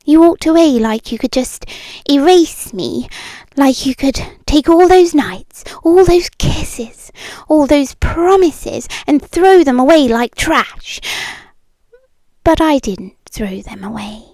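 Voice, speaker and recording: A possessive British yandere ex-girlfriend, acted by a woman in an intimate ASMR roleplay. Her high voice moves extremely slowly and deliberately, often slipping toward a whisper. She sounds eerily calm and condescending, cooing with quiet menace, close and dry on the microphone. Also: dry recording, exaggerated voice. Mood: insistent, bitter.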